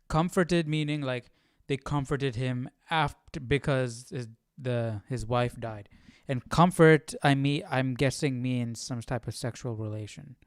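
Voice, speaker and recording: A man's voice: medium pitch, no echo, clean studio sound. A man hosting a casual live Bible study, reading and puzzling aloud as he repeats phrases.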